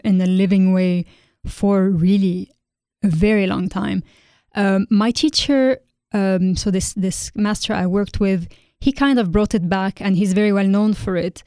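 A woman from Lebanon, a guest artist in an interview, speaking thoughtfully and pausing often with ums. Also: mid-range voice, mellow, dry recording, clean studio sound. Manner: thoughtful, hesitant with frequent ums. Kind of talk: interview conversation.

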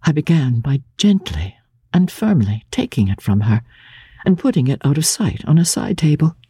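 Low-voiced older woman, husky, dark and mellow, measured dramatic audiobook narration. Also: dry recording. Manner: measured, grave, expressive. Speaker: audiobook narrator, radio presenter. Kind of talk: dramatic reading of classic literature as a diary.

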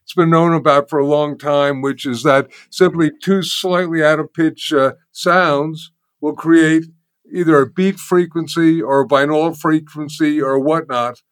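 Older man, interview guest, slightly high voice, dry, halting, explanatory. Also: steady tone, dry recording. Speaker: guest, sound healing teacher. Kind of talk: conversational explanation.

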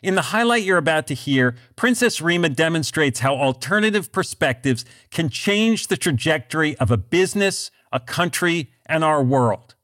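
A man hosting a business podcast, reading scripted narration in a measured, deliberate way. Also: on the high side, lively intonation, fairly bright tone. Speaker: podcast host.

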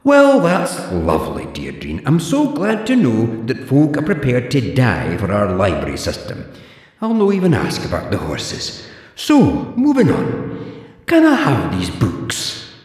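A man's voice: medium pitch, huge pitch swings, unhurried, darkish tone, pitched above the performer's own voice. A man voicing a wry, exasperated man who talks with dry sarcasm. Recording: echoey room with long reverb.

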